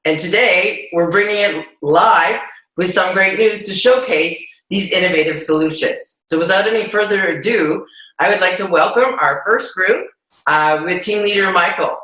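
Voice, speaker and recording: A man with a high, bright, forceful voice introduces an online youth event. He reads his introductions in an upbeat way, and the sound has a roomy echo around it. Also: normal speed. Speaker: host.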